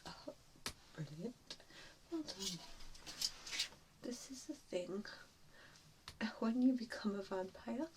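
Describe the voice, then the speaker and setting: A woman doing an ASMR roleplay in a breathy whisper, speaking in a gentle, hesitant, reassuring way. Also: medium pitch, lively intonation, slow pace, long pauses, noisy background. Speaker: ASMR roleplayer playing an assessor. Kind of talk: ASMR roleplay, softly whispered personal attention.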